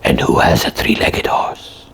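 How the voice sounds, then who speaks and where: A woman voicing a gruff, dim, curious sock puppet sidekick in a comic puppet show, with a husky voice and a halting, deadpan, questioning manner. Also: natural voice. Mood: matter-of-fact. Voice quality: husky, gravelly.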